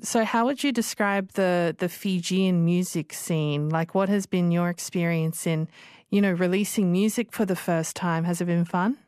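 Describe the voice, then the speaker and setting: A woman's voice: lowish voice, steady tone, normal speed, darkish tone, smooth, no room sound. A woman hosting a casual radio interview in a studio, encouraging and chatty with many fillers. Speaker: radio show host.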